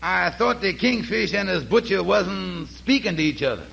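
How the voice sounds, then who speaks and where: A kindly, level-headed family man in a 1940s radio comedy. His high voice is heavily creaky, and he speaks in a folksy dialect as a loyal friend. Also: expressive, moderate pace, sharp tone, exaggerated voice, poor audio. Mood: sensible, friendly. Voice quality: raspy, creaky. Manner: folksy, ungrammatical dialect speech. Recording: vintage 1940s radio broadcast.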